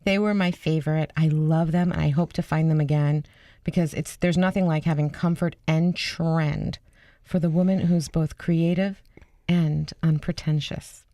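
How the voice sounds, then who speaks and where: A woman's voice: sits low, steady tone, moderate pace, warm tone, no echo. A woman co-hosting a casual radio show, talking in a chatty, enthusiastic way. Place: radio studio.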